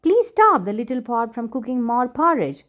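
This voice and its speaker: Fairy tale mother, voiced by a woman narrator, earnest, emphatic, lively pitch movement. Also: normal speed. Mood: pleading. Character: poor little girl's hungry, simple mother.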